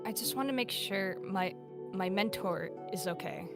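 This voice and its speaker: Earnest, worried young male cat apprentice played by a woman, hesitant and pleading, animated story roleplay, music clearly behind. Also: mid-range voice, moderate pace, close to an everyday voice. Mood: concerned.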